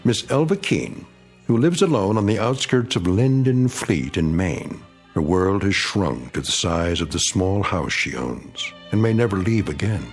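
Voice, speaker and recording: A male narrator with a deep, low voice tells the story in a measured, ominous way. Faint music runs beneath his narration. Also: very animated, breathy edge. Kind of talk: scripted dramatic narration.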